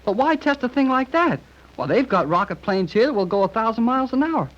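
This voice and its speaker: A brash young American adventurer in a 1930s radio serial. His delivery is folksy and exclamatory, leaping up and down in pitch. The recording is dull and vintage. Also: big pitch swings, fairly quick. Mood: puzzled. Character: brash, eager, slangy young male adventurer.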